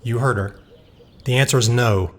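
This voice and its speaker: A man's voice: medium pitch, normal speed, natural voice. A man acting in an audio drama as a stern, self-controlled scientist, blunt and clinical in manner. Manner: matter-of-fact, firm, clinical. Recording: faint background noise.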